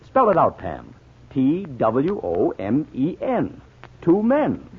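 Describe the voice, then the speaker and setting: A keen American amateur detective in a vintage radio mystery. He has a rough, creaky voice and highly animated, emphatic explanations. The old broadcast sound is thin. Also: takes it slowly, full character voice. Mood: keen, reasoning. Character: keen, reasoning amateur sleuth husband. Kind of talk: 1940s radio drama acting. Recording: tinny 1940s radio recording.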